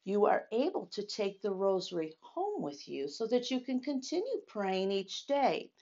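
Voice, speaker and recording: A woman's voice: mid-range voice, very animated, moderate pace, darkish tone, dull audio. A woman reading a story aloud to young children, addressing them directly with questions and emphatic phrasing. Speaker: children's storytime host at a church.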